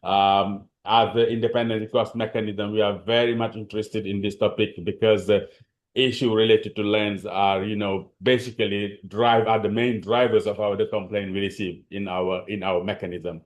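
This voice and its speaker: Man, Senegalese, French accent, lowish voice, halting webinar talk, video call. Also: little pitch movement. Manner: hesitant, halting, many fillers and restarts. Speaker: panelist. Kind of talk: webinar presentation.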